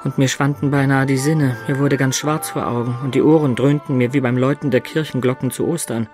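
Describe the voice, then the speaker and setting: A male actor in a radio drama playing an earnest, lovestruck adventurer of peasant birth, telling of his youth in measured, lyrical words. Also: medium pitch, steady tone, a little mellow, faint music behind, close to an everyday voice. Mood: wistful, reminiscing. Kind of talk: dramatic first-person narration in radio play.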